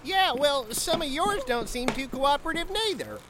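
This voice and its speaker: A man's voice: very high-pitched, expressive, moderate pace, heavy background noise. A man playing an exasperated, no-nonsense meeting leader who keeps order in a firm, plain-spoken, folksy way.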